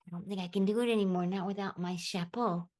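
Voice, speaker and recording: A woman's voice: mid-range voice, steady tone, fast, a little mellow, close to an everyday voice. A woman in an improv scene playing a glum toy missing her beret, sighing and dropping French words. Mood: mopey. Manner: mopey, sprinkles French words.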